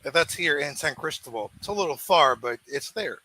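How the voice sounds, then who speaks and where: A man's voice: a little high, animated, fairly quick, bright, forceful tone, poor audio. A man in a casual discussion, speaking hesitantly with frequent restarts. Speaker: podcast co-host.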